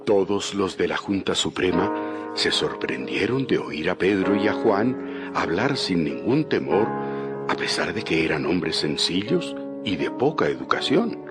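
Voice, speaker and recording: A man narrating a dramatized Bible from a script in a solemn, deliberate storytelling manner. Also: low-pitched, big pitch swings. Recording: music bed behind the voice.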